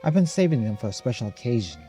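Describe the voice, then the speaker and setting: A man's voice: medium pitch, very animated, fast talker, dark tone, soft background music, close to an everyday voice. A man voicing a worried, earnest mother in a horror game, coaxing and questioning. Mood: apologetic, coaxing.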